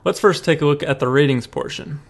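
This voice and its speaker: A young man with a somewhat high-pitched voice walks through a screen-recorded demo. His delivery is informal and conversational, like someone casually showing how a tool works from his computer. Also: fairly quick, gravelly. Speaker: product demo presenter.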